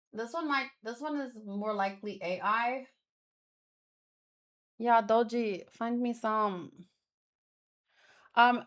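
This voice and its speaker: A woman's voice: fairly high pitch, a bit bright. A woman chatting casually as a streamer, thinking aloud in a rambling, exclamatory way. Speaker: manga read-along streamer.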